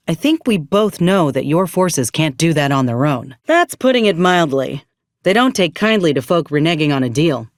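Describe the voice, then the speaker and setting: A woman's voice: sits low, no echo, clean studio sound. A woman playing an earnest, plain-spoken young frontier soldier in a video game, with a blunt, folksy, principled manner. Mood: measured, resolute. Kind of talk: video game character voice acting.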